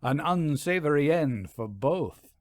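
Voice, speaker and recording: A man voicing a courtly, pompous gentleman scholar and world traveler in an audiobook, with formal, mannered speech. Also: fairly high pitch, animated, slow, dry recording. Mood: polite, fussy. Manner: formal, mannered, ends on "what?".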